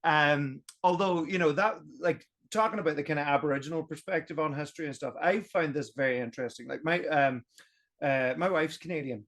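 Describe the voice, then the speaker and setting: Irish guy, a bit high-pitched, lively podcast guest who talks things through. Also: a little fast. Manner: animated, emphatic, thinks aloud. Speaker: guest history video creator. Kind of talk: casual roundtable podcast chat.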